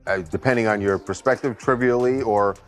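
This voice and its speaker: A man talking off the cuff as a news panel commentator, halting and a little wry. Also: mid-range voice, steady tone, faint music behind. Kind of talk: unscripted news discussion.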